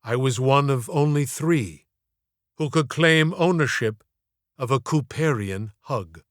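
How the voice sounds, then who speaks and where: A man reading his own first-person literary story in a theatrical, deliberate way, with florid phrasing. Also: medium pitch, no room sound, clean studio sound. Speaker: author reading own audiobook.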